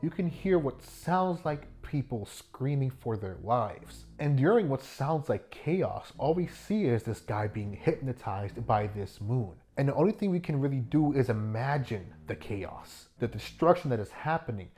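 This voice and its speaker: A man narrating a video essay in an informal, jokey way, with animated asides and some swearing. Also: medium pitch, a little slow, hardly any pauses. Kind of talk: scripted video essay commentary with joking asides. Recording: music bed behind the voice. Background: background music.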